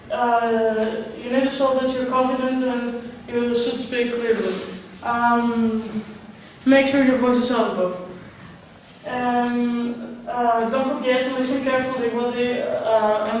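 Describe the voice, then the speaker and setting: Teen greek girl presenting tips, halting, flat tone, super echoey and far from mic. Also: a little high. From Greece. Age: teenage student. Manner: halting, searching for words. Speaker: student presenter. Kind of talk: hesitant school presentation of interview tips. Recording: echoey room, distant mic, faint noise.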